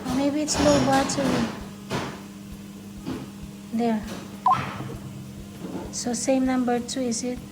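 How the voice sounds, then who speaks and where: A woman's voice: high voice, fairly even intonation, slow, long pauses, very noisy. A Filipino-accented woman, native in Tagalog, giving a casual product demonstration, talking through each step in short fragments. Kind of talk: casual unboxing and testing demonstration.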